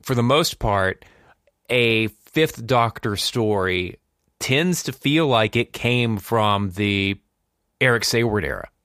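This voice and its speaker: Lowish-voiced male podcast host, dry close sound. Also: slow, a bit bright, dry recording. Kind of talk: casual panel discussion.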